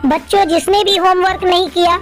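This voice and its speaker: A woman's voice: very high-pitched, fairly even intonation, fairly quick, faint music behind. A woman playing an arrogant, strict female tuition teacher who scolds pupils in a cartoon story. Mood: stern, sly. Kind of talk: Hindi cartoon story dialogue.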